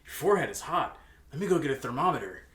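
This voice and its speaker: A man's voice: on the high side, very animated, quick pace, a bit bright. A man voicing a caring teenage schoolboy who fusses attentively over a friend, lightly theatrical, in a fan fiction reading. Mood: concerned. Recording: echoey room.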